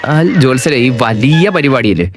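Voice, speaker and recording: A man's voice: medium pitch, expressive, moderate pace, over loud music, close to an everyday voice. A man playing a cheeky, meddling friend who teases and wisecracks in a comedy cartoon. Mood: nosy, bargaining. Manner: wisecracking, teasing. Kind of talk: comedy cartoon skit dialogue.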